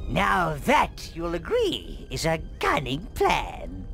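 Old goblin henchman, game creature, croaky, slow, fawning, wicked, servile. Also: fairly high pitch, wildly swooping pitch, fairly bright tone, soft background music, full character voice. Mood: sly, servile. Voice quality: gravelly, creaky. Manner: servile, relishing, drawn-out. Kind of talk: video game cutscene acting.

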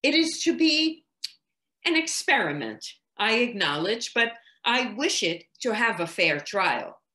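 A woman's voice: on the high side, sing-song, a little slow, pushed and bright, pitched above the performer's own voice, full character voice. A woman voicing an earnest, authoritative husband in a period story, formal, emphatic and persuasive.

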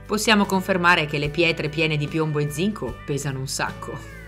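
A woman's voice: low-pitched, normal speed, a bit bright, faint music behind. A woman co-narrating a comic travel show with teasing asides.

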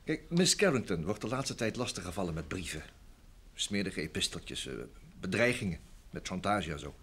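An actor playing an affable, evasive showbusiness manager in an old Dutch radio play, chatty and hesitant. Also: male voice, sits low, animated, moderate pace, a bit bright, a little hiss or hum. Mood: evasive, uneasy. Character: evasive, affable manager of a film star, grown man.

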